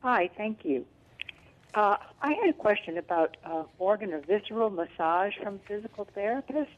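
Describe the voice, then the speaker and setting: A woman's voice: medium pitch, expressive, normal speed. A woman calling in to a health show, asking her question hesitantly with many fillers. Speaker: caller. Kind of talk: call-in question. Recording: phone line.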